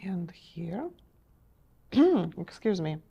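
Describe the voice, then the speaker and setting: A middle-aged woman guides a painting lesson at an unhurried pace, with a low, mellow voice. She is gentle and encouraging and keeps checking in with her viewers. Her voice is a little worn, as if she is losing it. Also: big pitch swings, vocal fry, husky, dull audio. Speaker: painting instructor. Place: TV studio.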